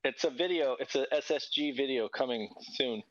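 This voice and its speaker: A man's voice: medium pitch, normal speed, fairly bright tone. A man chatting casually and informally on a panel, over a slightly lo-fi connection.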